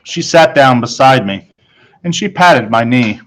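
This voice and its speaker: Slow-paced man reading a children's story. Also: medium pitch, lively intonation, a bit bright. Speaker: storybook reader. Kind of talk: scripted first-person narration of a children's novel.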